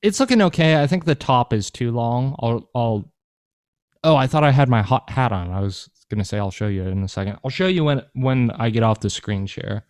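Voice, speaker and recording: A man's voice: mid-range voice, animated, moderate pace, darkish tone, no room sound. Guy cohosting a podcast, cusses a lot, rambles, very dry close sound. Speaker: podcast co-host. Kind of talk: casual podcast banter.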